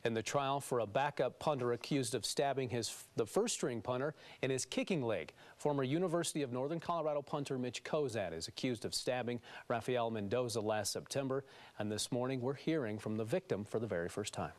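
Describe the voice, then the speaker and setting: A man's voice: mid-range voice, fairly quick. American man anchoring morning news. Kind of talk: scripted morning news narration.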